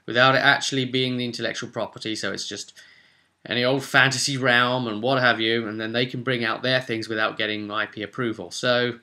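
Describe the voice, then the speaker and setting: A man's voice: mid-range voice, fairly even intonation, brisk, bright, forceful tone. A man talking informally in a casual gaming vlog, thinking aloud as a tabletop game master in a conversational way.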